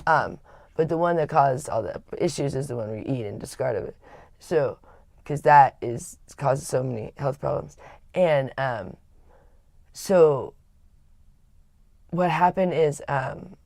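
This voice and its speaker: A woman with a low, raspy voice and a gravelly edge. She talks candidly and wanders as she tells her story, often stopping to restart a sentence. The sound is dry and close. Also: unhurried, long pauses, a little mellow, no room sound. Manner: rambling and confiding, with frequent restarts. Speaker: livestream host.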